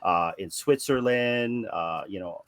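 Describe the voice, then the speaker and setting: A man talking casually on a video call, halting and repeating words as he tells a story. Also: lowish voice, no room sound, clean studio sound. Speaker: podcast host guest.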